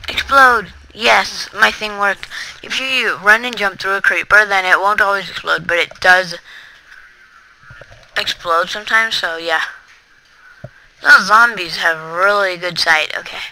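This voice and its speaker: A rambling Let's Play commentator who chats to viewers while playing. The voice is very bright and sharp, with a gravelly edge. The delivery is slow and unhurried, broken by excitable outbursts. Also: mid-range voice, slight background noise, rough recording. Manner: rambling, chatty, talks to viewers. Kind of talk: casual gameplay commentary.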